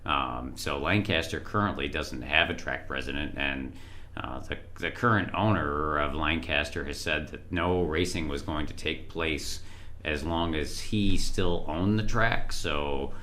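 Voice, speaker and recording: A man's voice: rumbling low, measured pace, bright, forceful tone. A man chatting casually on a podcast in a rambling way, his voice froggy from being sick. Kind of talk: casual chat.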